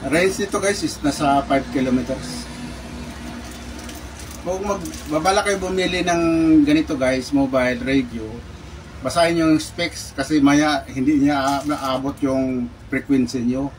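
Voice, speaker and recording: Casual Filipino male vlogger, mid pitch, halting product-unboxing delivery, recorded at a distance with noticeable noise. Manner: halting, repeats words, addresses viewers often. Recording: distant mic, clearly noisy background with birds.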